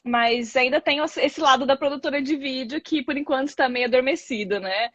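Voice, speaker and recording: A woman in her thirties, a guest content creator, talking in a rambling, expressive way with many fillers on a video call. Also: high-pitched, a little fast, no room sound, lo-fi sound. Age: 30s. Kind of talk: casual live chat.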